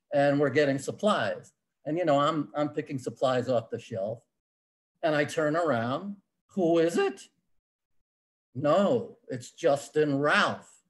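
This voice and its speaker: A man telling a personal story casually on a video call, acting out bits of dialogue as he goes. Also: mid-range voice. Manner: acts out short dialogue exchanges. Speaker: amateur storyteller.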